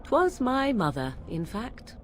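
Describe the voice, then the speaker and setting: A woman's voice: on the high side, wildly swooping pitch, takes it slowly, slight background noise, heavily acted. A woman playing a proud, sardonic young witch in a fantasy game, speaking in an arch, archaic manner. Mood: haughty, defiant. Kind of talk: fantasy video game acting.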